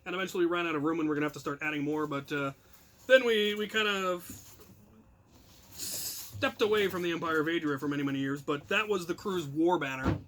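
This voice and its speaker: Male storyteller-host, high bright voice, casual and rambling, self-deprecating, animated emphasis. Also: a little fast, a little hiss or hum. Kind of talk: casual anecdotal storytelling to viewers.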